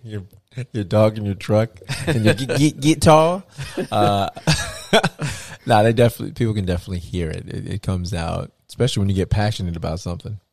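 A man's voice: on the low side, brisk, gravelly, a little mellow. A man co-hosting a podcast in casual chat, teasing his co-host and laughing often.

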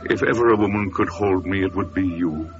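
An actor playing a restless, earnest wandering seeker in an old-time radio drama. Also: male voice, low-pitched, a little fast. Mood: tender resolve. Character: yearning, restless male seeker, wandering traveler. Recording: muffled vintage radio recording with music.

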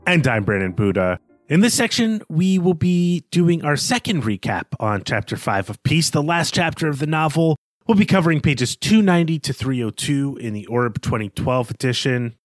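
A man co-hosting a literary podcast, talking through his analysis in a measured, conversational way. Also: fairly high pitch, sing-song, dry recording, soft background music. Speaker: podcast co-host.

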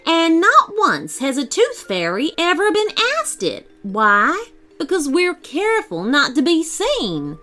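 Brisk, bossy tooth fairy in a storybook, high and bouncy, snappy and fussy, as a woman puts her on. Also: huge pitch swings, sharp tone, soft background music, heavily acted. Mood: exasperated.

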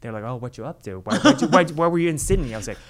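A young man, an actor who grew up speaking Cantonese and English, answering interview questions in an earnest, relaxed way with fillers. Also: mid-range voice, very fast, crowd noise.

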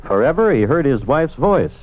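An actor playing the solemn narrator of a vintage radio crime drama, telling the tale in literary, measured phrases. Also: male voice, medium pitch, sing-song, natural voice. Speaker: story narrator. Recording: tinny vintage radio recording with music.

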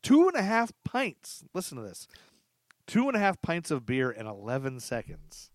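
A man's voice: mid-range voice, wildly swooping pitch, normal speed, no room sound, studio quality. A man hosting a podcast, telling stories casually and comically, chatty and amused, repeating facts for emphasis. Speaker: podcast host.

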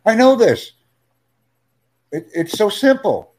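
Slow, emphatic male tutor. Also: sits high, very animated, darkish tone. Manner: speaks slowly and clearly, friendly, emphatic repetition. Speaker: English tutor. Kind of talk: slow, clear teaching talk.

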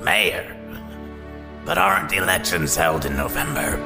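Slow, gravelly, scheming grotesque villain. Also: male voice, low voice, wildly swooping pitch, over music, exaggerated voice. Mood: sly, intrigued. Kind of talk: audio drama villain acting.

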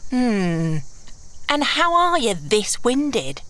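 Brash female rogue played by a woman, fairly high voice, lively swoops, dry and teasing, fantasy adventure acting. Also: extremely slow, dry recording, full character voice. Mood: wry. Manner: dry, self-assured, teasing. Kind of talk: fantasy audio drama acting.

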